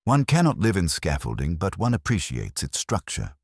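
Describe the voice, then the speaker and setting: A man's voice: low voice, huge pitch swings, normal speed, creaky, husky, dry recording, studio quality, exaggerated voice. A man voicing a pompous, evasive, genteel council chairman with roundabout politeness in an audiobook mystery. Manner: pompous, circumlocutory, hesitant politeness.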